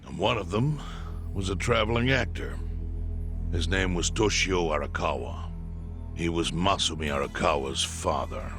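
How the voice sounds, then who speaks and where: An actor playing a stern old crime clan chief, telling history in a measured, formal way in a video game. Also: male voice, low-pitched, music in the background. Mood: grave, recounting. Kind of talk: video game cutscene voice acting.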